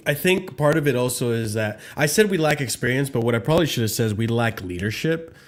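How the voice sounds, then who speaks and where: A man in his thirties talks football at an ordinary pitch. He thinks out loud, hedges his points and stops to rephrase before he commits to an argument. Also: animated, fast. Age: 30s. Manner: thoughtful, hedging, self-correcting. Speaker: podcast co-host. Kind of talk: casual football chat.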